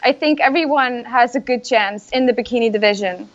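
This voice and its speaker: Soft-spoken Icelandic woman, lo-fi. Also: a little high, fairly quick. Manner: soft-spoken, modest, giggles between answers. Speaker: bikini bodybuilding competitor. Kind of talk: casual interview answers. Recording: dull lo-fi sound.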